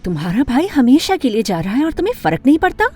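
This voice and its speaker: High-voiced, expressive teenage girl storyteller. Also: quick pace. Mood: hurt, indignant. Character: teenage girl fashion designer, earnest, emotional, lovestruck. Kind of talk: first-person animated story narration and dialogue.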